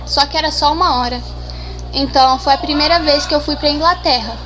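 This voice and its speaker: A woman's voice: high-pitched, fairly quick, low-quality recording. A seventeen-year-old girl from Brazil, answering interview questions casually, shy and hesitant with many restarts. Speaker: interview guest.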